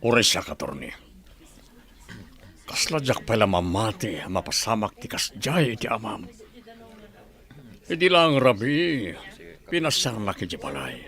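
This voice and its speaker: An actor with an Ilocano accent playing a sober, concerned army general in a radio drama, grave and measured. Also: male voice, fairly low pitch, big pitch swings, vocal fry, fairly bright tone, full character voice. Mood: mournful.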